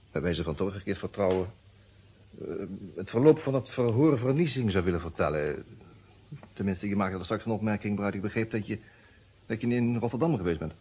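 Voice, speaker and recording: Lawyer friend, low, slightly husky, uneasy and halting, courteous, dull-sounding old radio drama. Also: male voice. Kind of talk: radio play acting. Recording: muffled old radio play recording.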